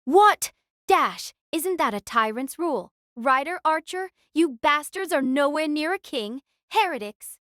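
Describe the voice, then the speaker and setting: A woman's voice: high voice, animated, unhurried, fairly bright tone, no echo, clean studio sound. A woman playing a proud, earnest young knight king spirit in an audio drama, haughty and formal in her delivery. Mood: outraged.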